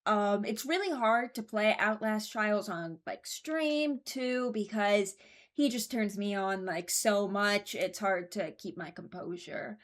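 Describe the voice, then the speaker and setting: A woman's voice: medium pitch, takes it slowly. A woman giving casual, rambling commentary as a YouTuber, frank and mock-serious, often hesitating as she goes. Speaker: YouTuber ranking game voice lines.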